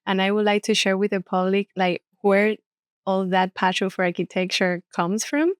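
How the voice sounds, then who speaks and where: A woman's voice: medium pitch, normal speed, clean tone, no echo, clean studio sound. A woman hosting an architecture podcast, introducing and encouraging her guest. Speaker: podcast host. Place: studio.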